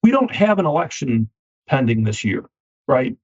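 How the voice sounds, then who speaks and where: A man, a finance company CEO, answering interview questions with a slightly scratchy voice, measured and often repeating words as he starts a thought. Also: medium pitch, sing-song, husky. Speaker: equipment finance CEO, guest. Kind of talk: business podcast interview. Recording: dull lo-fi sound.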